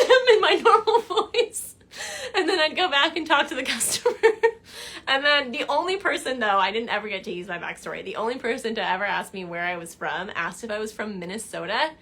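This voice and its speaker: A young woman answering viewer questions in a casual livestream chat from her living room couch, animated and chatty. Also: fairly high pitch. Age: young adult. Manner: chatty and animated, gestures a lot, laughs while telling stories. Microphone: phone camera at arm's length.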